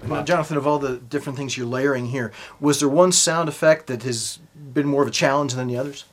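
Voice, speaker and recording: A man's voice: medium pitch, steady tone, brisk. A man hosting a podcast in an upbeat, casual style. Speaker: podcast host.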